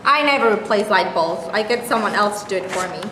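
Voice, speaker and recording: A woman's voice: mid-range voice, expressive, fairly quick, fairly bright tone, close to an everyday voice. An actress playing a self-pitying, klutzy woman with a dry, barbed tongue, in a staged reading of a play. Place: indoor stage reading, live room. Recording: echoey room, faint background noise.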